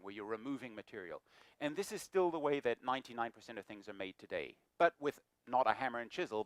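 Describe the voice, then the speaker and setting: Middle-aged man as a guest speaker, joking, self-mocking, mid-pitched, dry room. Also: no room sound. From New Zealand. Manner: jokey, self-deprecating, lively. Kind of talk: lecture talk. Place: lecture room.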